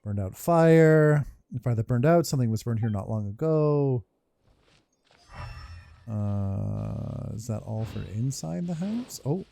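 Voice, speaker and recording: A man's voice: medium pitch, animated, slow, gravelly, warm tone. A man hosting a game playthrough, reading on-screen text aloud with hesitant, muttered reactions. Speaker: gaming video host.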